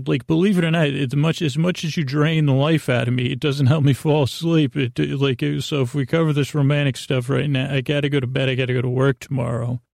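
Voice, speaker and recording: A man with a gravelly, mid-pitched voice rambles through an improvised sleep podcast monologue. He halts, stammers and doubles back on himself, often voicing both sides of a self-deprecating inner argument. The sound is dry, with no room echo. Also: a little mellow. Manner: meandering, halting, self-interrupting and stammering. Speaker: podcast host.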